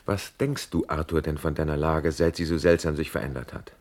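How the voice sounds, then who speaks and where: An actor playing a worried, loyal officer friend in a classical verse radio play, speaking with grave, urgent, doubting delivery. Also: male voice, very deep voice, flat delivery, a little fast, darkish tone. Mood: anxious, doubting. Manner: grave, urgent, incredulous questioning.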